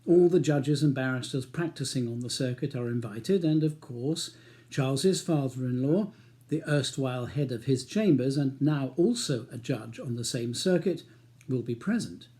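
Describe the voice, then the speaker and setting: A man's voice: mid-range voice, normal speed, warm tone. A middle-aged man with an English accent reading his own novel aloud as audiobook narration.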